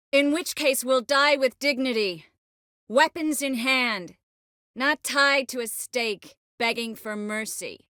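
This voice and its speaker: An actress playing a confident, witty sorceress in a video game, with an expressive, wry way of speaking. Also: female voice, on the high side, no echo, clean studio sound. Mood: defiant, forceful. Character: witty, confident adult sorceress, warm but worldly. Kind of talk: dramatic video game dialogue acting.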